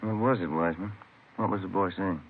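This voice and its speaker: An actor playing a plain-talking, easygoing police officer in a casual manner for an old radio crime drama. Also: male voice, low-pitched, fairly quick, narrow, tinny audio. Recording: noisy, band-limited old radio recording.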